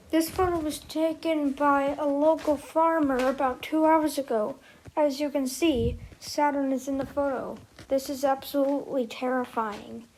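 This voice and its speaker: High-pitched woman reading slow emergency alerts. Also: a little hiss or hum, poor audio. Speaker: emergency broadcast announcer in a hobby scenario video. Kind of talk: scripted mock emergency alert narration.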